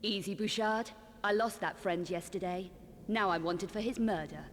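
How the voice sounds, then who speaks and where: A woman's voice: mid-range voice, moderate pace, long reverb tail, background noise, close to an everyday voice. An actress voicing a determined young woman adventurer in a video game, cool, clipped and businesslike. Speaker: character in a video game cutscene.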